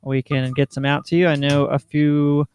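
A man's voice: a little high, little pitch movement, normal speed, darkish tone, slight background noise. A man, co-hosting a casual podcast, laid-back and easygoing. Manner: laid-back, says y'all a lot. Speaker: podcast co-host. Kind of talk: casual chat.

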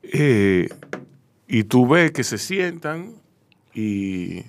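A man with a medium-pitched voice. He conducts a casual interview, feeling his way haltingly into questions and repeating his phrases. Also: big pitch swings, a little hiss or hum.

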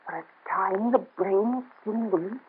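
An actress playing a frightened young Frenchwoman in an old radio drama, with a breathy voice. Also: mid-range voice, takes it slowly. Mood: anxious pleading. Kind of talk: 1930s radio drama acting. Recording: tinny old radio recording.

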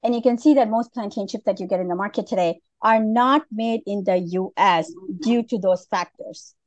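A woman's voice: medium pitch, normal speed, no echo, highs cut off. A middle-aged woman from Malaysia, a podcast guest, talking casually with many fillers and restarts. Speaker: guest, food business founder. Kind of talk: casual interview.

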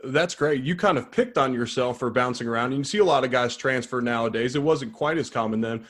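A man hosting an interview podcast, reading a measured introduction and then chatting in a friendly way with his guest. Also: medium pitch, fairly bright tone. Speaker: podcast host.

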